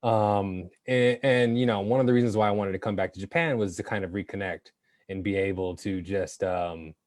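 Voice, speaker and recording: A man raised in the United States, a professional athlete speaking on a panel in a thoughtful, halting way with many ums. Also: fairly low pitch, steady tone, a little mellow, no echo, clean studio sound. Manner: thoughtful, frequent ums, restarts. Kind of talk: panel discussion.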